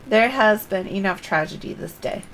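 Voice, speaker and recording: A woman's voice: mid-range voice, expressive, moderate pace, a little hiss or hum, natural voice, poor audio. A woman reading aloud the lines of an earnest, formal young male scholar from a video game, in a plain voice close to her own. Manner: reads dialogue aloud in a plain voice.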